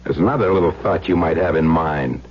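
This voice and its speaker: A man's voice: rumbling low, brisk. An actor playing a crude, taunting blackmailer husband with slangy tough-guy talk, in old-time radio drama style. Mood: taunting, threatening. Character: crude blackmailing husband back from presumed death, slangy and menacing. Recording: dull lo-fi radio recording, faint hum.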